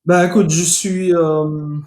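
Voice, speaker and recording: A young man from Guinea, guest on a podcast, tells his story in loose, halting sentences full of restarts. His voice sits somewhat high. The sound is roomy and echoey, as over a remote call. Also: level tone, darkish tone. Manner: hesitant, many fillers and restarts. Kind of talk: casual interview talk. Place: home in Atlanta.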